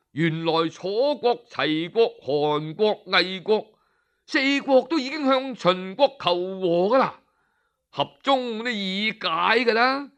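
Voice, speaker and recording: A man telling a traditional historical tale in Cantonese, with a Cantonese accent, slowly and expressively acting out the characters' speeches. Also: sits high, smooth. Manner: animated, slow, voices the characters' speeches. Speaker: storyteller. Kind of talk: Cantonese oral storytelling.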